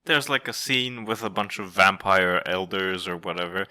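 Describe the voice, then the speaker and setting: A man in his twenties speaks with a Serbian accent in a casual podcast chat. His voice is very bright and sits fairly low, and he stumbles and repeats words before landing dry jokes. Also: normal speed. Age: 20s. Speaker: podcast co-host.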